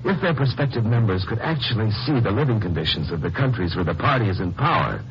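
An actor playing an earnest, wry lawyer hero in a 1950s radio drama, with an American accent. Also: male voice, fairly low pitch, expressive, fast talker. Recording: muffled, noisy old radio recording.

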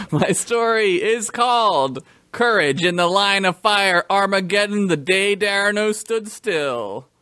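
A man's voice: high-pitched, animated, slow pace, sharp tone, smooth, no room sound, studio quality. A man co-hosting a comedy podcast, bantering and telling silly stories in a crude, giggly, jokey way. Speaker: podcast co-host. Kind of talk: casual comedic banter and storytelling.

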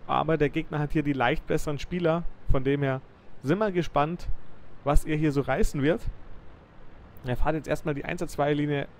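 Young man, game commentary, lively play-by-play, slightly high voice, faint background hiss. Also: moderate pace. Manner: lively, excited play-by-play with frequent praise. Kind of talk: let's play commentary over a viewer's replay.

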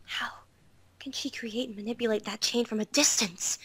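A woman voicing a composed, quietly menacing young swordswoman, speaking in a hushed, measured way for an amateur visual novel dub. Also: a little high, steady tone, husky, no room sound. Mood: wary. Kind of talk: visual novel fandub acting.

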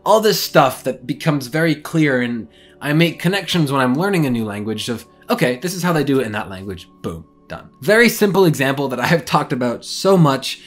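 Animated young man vlogging from his bedroom. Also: medium pitch, brisk, soft background music. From English-speaking. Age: young adult. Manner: animated, gestures a lot while explaining. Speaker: language learning vlogger. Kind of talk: casual talking-head vlog. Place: small bedroom, indoors.

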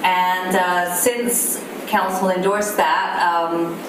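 A woman with a low voice giving a staff update in a video meeting, speaking steadily with fillers. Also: level tone, very slow. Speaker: city staff presenter. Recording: roomy distant video call audio with background noise.

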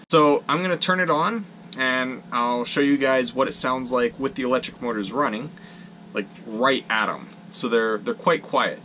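A man's voice: medium pitch, pushed and bright, slight background noise. A young man on his boat, casually and practically walking through how his gear works, filmed with a camera at arm's length in a small wooden cabin. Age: young adult. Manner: plain, practical, self-deprecating. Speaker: sailing vlogger.

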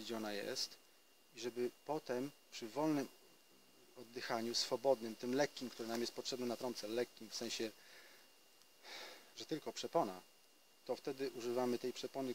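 A man's voice: medium pitch, a little hiss or hum, rough recording. Man teaching outdoors in a forest. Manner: explanatory, with hesitations and restarts. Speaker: trumpet teacher. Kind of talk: casual spoken lesson.

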